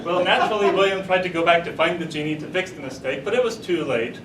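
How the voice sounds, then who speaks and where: A man giving a humorous tall-tale speech to a live audience, deliberately, pausing for punchlines. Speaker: storyteller at a speech contest. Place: room with a live audience, recorded from a distance. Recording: roomy, distant mic, rumbling noise.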